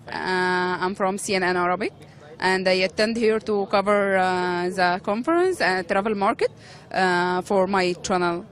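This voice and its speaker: A woman from Egypt, who works as a journalist, is being interviewed. She speaks hesitantly and fills her sentences with lots of fillers. Her pitch is in the usual middle range. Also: measured pace.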